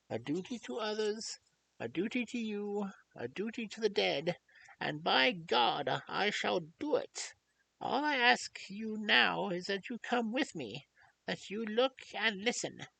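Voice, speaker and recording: Reader doing a stern, pitying old doctor for a storybook; unhurried, a touch of fry. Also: mid-range voice, bright, forceful tone, pitched above the performer's own voice, heavily acted, low-quality recording. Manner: slow, deliberate, earnest pleading. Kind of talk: audiobook character reading.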